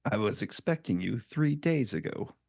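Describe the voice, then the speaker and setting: A man acting out a pirate crime boss from space, low-voiced and theatrical. He speaks with mock courtesy and threat, swinging widely in pitch, in a dry close recording. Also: animated, normal speed, creaky, darkish tone, dry recording. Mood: cool menace. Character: menacing, silkily mocking Deltan pirate crime boss. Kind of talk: fan script table read.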